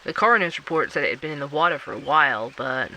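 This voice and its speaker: A man's voice: a little high, lively intonation, fast, pushed and bright, a little hiss or hum, exaggerated voice. An actor playing a curious male investigative podcaster, who narrates and probes with questions. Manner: inquisitive, probing.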